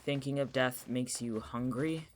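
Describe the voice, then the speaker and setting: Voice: mid-range voice, measured pace, a little hiss or hum, lightly acted. An actor in a naturalistic audio drama playing an exasperated, protective young person with a casual, sardonic, blunt way of talking. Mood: wry, casual. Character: exasperated, protective young person who knows the town's dangers.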